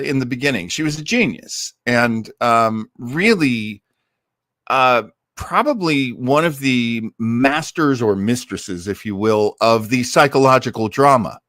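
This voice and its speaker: A man's voice: mid-range voice, dry recording, clean studio sound. A man hosting a listening party with effusive, enthusiastic live commentary. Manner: animated, effusive. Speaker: host of radio drama listening party.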